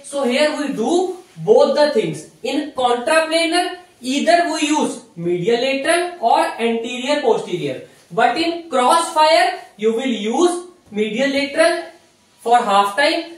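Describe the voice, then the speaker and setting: A man's voice: very high voice, big pitch swings, unhurried. A young man with an Indian accent giving an explanatory whiteboard lecture, repeating key phrases as he teaches. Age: young adult. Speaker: physiotherapy lecturer. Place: small indoor room with whiteboard. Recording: very echoey room, distant mic.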